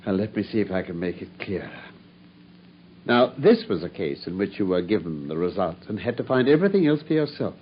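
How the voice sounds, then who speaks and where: An actor playing a confident Victorian detective with a British accent, precise and lecturing, in a radio drama. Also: male voice, sits low, lively intonation, fairly quick, a little hiss or hum. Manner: precise, lecturing, self-assured. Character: confident, analytical male Victorian detective explaining his methods. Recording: dull, muffled radio drama recording.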